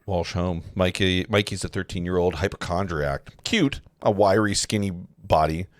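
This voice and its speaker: A man narrating a film script at a live table read, halting and often correcting himself. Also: sits low, no room sound, studio quality. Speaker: narrator reading stage directions.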